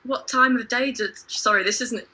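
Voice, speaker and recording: A woman's voice: on the high side, normal speed, sharp tone, breathy edge. A woman chatting casually as a contestant in a livestream quiz. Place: home video call.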